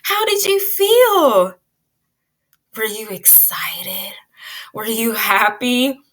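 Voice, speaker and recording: A woman teaching pre-kindergarten children in an animated, child-directed way, asking questions as she leads a read-aloud. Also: fairly high pitch, very slow.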